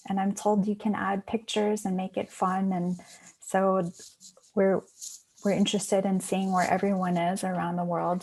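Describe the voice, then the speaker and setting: A woman's voice: mid-range voice, a little mellow, low-quality recording. Woman from Hawaii hosting an online panel. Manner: friendly, with many "um" fillers. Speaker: international programs manager hosting a panel. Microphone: video call.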